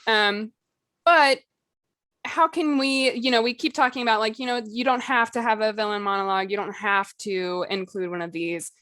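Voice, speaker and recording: A woman's voice: fairly high pitch, fairly even intonation, moderate pace, fairly bright tone, no room sound, clean studio sound. A woman hosting a podcast, talking informally and conversationally about the craft of writing. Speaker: podcast host. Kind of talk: casual discussion of writing craft.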